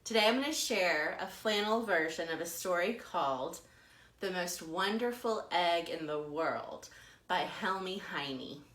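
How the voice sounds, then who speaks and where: Bright, slow-paced American woman storyteller. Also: on the low side. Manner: deliberate, dramatic emphasis. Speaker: children's librarian storyteller. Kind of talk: expressive storytelling for preschoolers. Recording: roomy echo.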